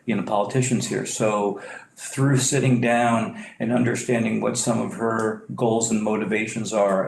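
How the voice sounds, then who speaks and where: A developer presenting to a public board meeting, halting with many fillers and restarts, clearing his throat as he loses his voice. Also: on the low side. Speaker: property developer. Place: meeting room. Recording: echoey room, faint noise.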